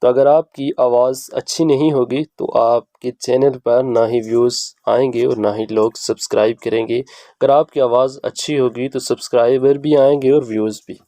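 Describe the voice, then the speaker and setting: Deep, mellow-voiced man giving a tutorial. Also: steady tone, normal speed, dry recording. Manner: instructional, repeats each step. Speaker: video host giving an app tutorial. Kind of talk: spoken step-by-step how-to tutorial.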